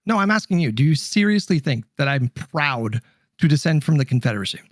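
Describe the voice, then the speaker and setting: A man argues politics in a heated livestream debate. His voice is fairly high and moves around a lot in pitch. He presses his questions with indignant insistence, in a very dry-sounding space. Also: very animated, fairly quick, dry recording.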